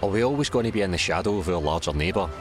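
A man's voice: low voice, lively intonation, very fast, music in the background. A man with a Glaswegian Scots accent presenting a documentary, narrating and interviewing in a casual, plainspoken way. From Scotland. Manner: casual, plainspoken, often says aye.

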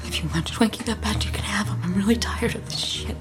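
A woman's voice: medium pitch, fast talker, music in the background, natural voice. A woman playing a defiant captive who is sarcastic, scornful and foul-mouthed in a dramatic performance. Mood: exasperated. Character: defiant, mocking female captive with a sharp tongue.

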